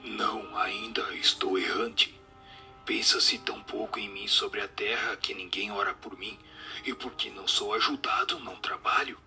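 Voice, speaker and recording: An actor in a radio drama playing the merry, mischievous spirit of a dead street ragpicker, with playful, breathy delivery. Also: male voice, on the low side, steady tone, normal speed, sharp tone. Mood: wistful. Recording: music under the voice.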